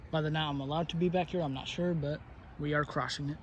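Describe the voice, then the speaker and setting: Young man, casual vlog, slightly high voice, rambling fillers, phone at arm's length, faint outdoor noise. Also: fairly even intonation, fast, lo-fi sound. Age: young adult. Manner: rambling, hesitant, many fillers. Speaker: vlogger. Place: outdoors on a wooded trail by a river. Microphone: phone camera at arm's length.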